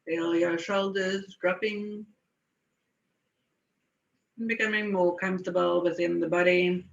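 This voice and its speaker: A woman slowly guiding a meditation in a soothing, unhurried way, with lingering pauses. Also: lowish voice, level tone, vocal fry. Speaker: guided meditation leader. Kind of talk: slow guided meditation. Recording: dull, echoey room recording.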